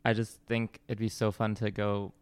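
A man guest on a podcast, chatting excitably and laughing easily. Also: lowish voice, moderate pace, clean tone, dry recording, clean studio sound. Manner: excitable, laughs often, gossipy. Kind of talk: casual chat.

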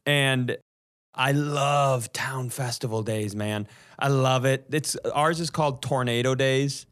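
A man's voice: mid-range voice, takes it slowly, fairly bright tone. A man co-hosting a comedy podcast, telling casual funny stories and laughing often as he goes. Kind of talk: casual comedic chat, storytelling.

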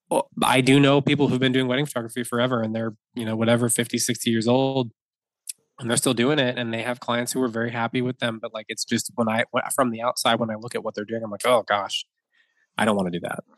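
A man's voice: mid-range voice, a bit bright, no room sound, poor audio. A man in his thirties, a wedding photographer, chatting as a podcast guest, rambling with many fillers and restarts and laughing easily. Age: 30s. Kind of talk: casual podcast conversation.

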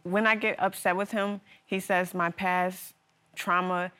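A woman's voice: fairly low pitch, level tone, a little slow, bright, forceful tone, clean tone. A woman testifying before a judge, earnest and aggrieved, with some hesitant fillers and restarts. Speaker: litigant. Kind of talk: courtroom testimony.

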